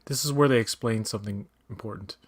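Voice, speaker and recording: A man's voice: on the low side, fast. Blunt, opinionated man riffing on a movie. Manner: opinionated, blunt, swears freely. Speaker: guest co-watcher. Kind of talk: casual watch-along chat.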